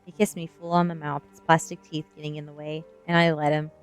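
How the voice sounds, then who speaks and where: A woman reading a first-person novel aloud in an ASMR storytelling style, with expressive narration. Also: low voice, a little fast. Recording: music behind the voice. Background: music.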